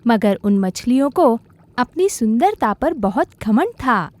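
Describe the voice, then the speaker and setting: A woman's voice: on the high side, very animated, unhurried, darkish tone, no echo. A woman narrating a scripted children's animal story.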